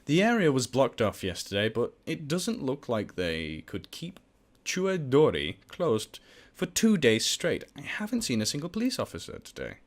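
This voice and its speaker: Animated man reading a game aloud. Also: medium pitch, normal speed. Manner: jokey, exclamatory, swings between reading and goofy outbursts. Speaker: host of a game playthrough series. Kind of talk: let's play, reading game text aloud with joking asides.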